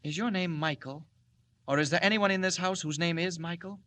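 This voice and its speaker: An actor playing a timid, earnest newspaper reporter who stammers when flustered, in 1940s radio style. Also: male voice, sits high, brisk, fairly bright tone. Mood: uneasy, polite. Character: timid, anxious, mild-mannered male newspaper reporter.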